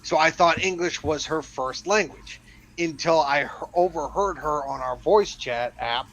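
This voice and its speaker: Give me a rambling podcast co-host, a man with a fairly high, gravelly voice. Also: a little slow, fairly bright tone, poor audio. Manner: rambling, lots of filler and restarts, swearing. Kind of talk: casual chat.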